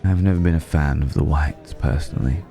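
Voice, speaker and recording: A man playing a suave, flirtatious, ageless vampire in an intimate ASMR roleplay, speaking in a courtly, teasing way with lingering pauses. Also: a bass voice, vocal fry, warm tone, soft background music. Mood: suave, indulgent. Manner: leisurely, courtly, teasing, with hesitant pauses and hums.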